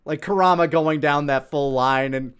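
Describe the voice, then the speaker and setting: A man's voice: fairly high pitch, moderate pace, fairly bright tone, smooth. A man in his thirties giving casual reaction commentary as host, rambling with joking, self-deprecating asides. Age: 30s. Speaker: host commenting on own abridged anime series.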